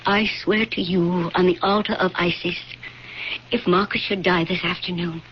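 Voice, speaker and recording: Radio drama casting: woman playing impassioned young Roman noblewoman, grief-stricken and pleading, lower register, muffled noisy old broadcast. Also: fairly even intonation, moderate pace, lightly acted. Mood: desperate pleading. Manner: earnest, pleading, formal diction. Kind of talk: classic radio drama acting.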